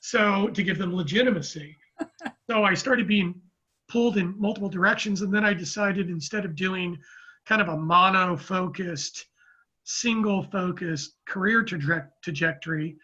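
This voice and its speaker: A man in his fifties from Oakland, a guest telling his story in a rambling, measured way with many restarts. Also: high-pitched, pushed and bright, slightly rough, highs cut off. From Oakland, California, US. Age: 50s. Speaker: guest, real estate economist. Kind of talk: casual interview talk.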